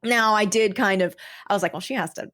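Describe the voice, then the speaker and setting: A woman with a mid-range voice speaks as a creative guest in a casual podcast conversation. She explains storytelling in an animated, informal way, often slipping into little imagined reactions as she goes. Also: mid-range voice, fast. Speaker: guest, audio drama creator.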